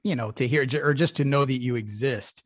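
A man's voice: medium pitch, fairly quick, dry recording, clean studio sound. Rambling podcast host, man. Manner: rambling, many fillers and restarts. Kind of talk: casual interview.

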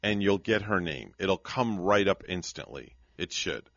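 An older man with a low, animated voice trades jokes on a morning radio program. He is from New York and talks in a loose, playful way. The sound is dry and a little muffled, like a lo-fi broadcast. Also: measured pace, dry recording. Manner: jokey, playful, slips into comic impressions. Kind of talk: casual morning show banter.

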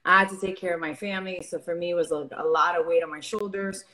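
A woman's voice: on the low side, steady tone, quick pace, bright, forceful tone, low-quality recording. A young woman from Venezuela, a fitness coach and guest on a podcast, talking openly and earnestly with many fillers. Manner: open, earnest, filler-heavy. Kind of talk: casual interview chat.

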